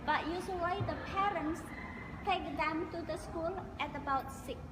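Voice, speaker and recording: A woman with an Indonesian accent answering interview questions casually, explaining in an animated way and sometimes searching for words. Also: very high voice, normal speed. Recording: echoey hall with loud background noise.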